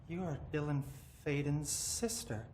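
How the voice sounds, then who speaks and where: An actor playing an unnervingly calm possessed young man in a video game, talking in detached short statements. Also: on the high side, unhurried, slight background noise, natural voice. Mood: eerily calm. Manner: detached, eerily serene, talks in short flat statements. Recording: echoey room with faint background ambience.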